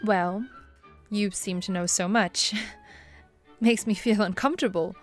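An actress playing a kindly, refined bishop's wife, polite and hesitant, in a radio-style drama. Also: female voice, mid-range voice, steady tone, slow, faint music behind, natural voice. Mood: wistful.

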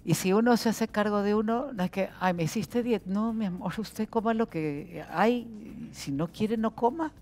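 A woman's voice: medium pitch, normal speed, hardly any pauses, a little mellow, a little hiss or hum. Blunt, motherly older woman guest. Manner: frank, motherly, blunt advice. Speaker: talk show guest. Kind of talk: casual studio chat. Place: TV studio set.

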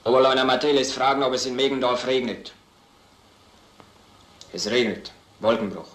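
An actor playing a dutiful police desk assistant with a clipped, official manner in a crime drama. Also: male voice, medium pitch, normal speed, natural voice. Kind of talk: German film crime drama acting. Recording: echoey, noisy old film soundtrack.

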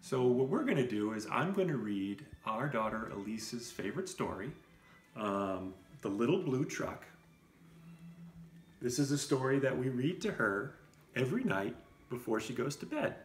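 A man's voice: mid-range voice, sing-song, normal speed, a little hiss or hum. A man in his thirties reading a children's storybook aloud as a parent, expressively, with simple casual asides. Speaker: parent reading to preschool class. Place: indoor room with brick wall. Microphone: camera a short distance away.

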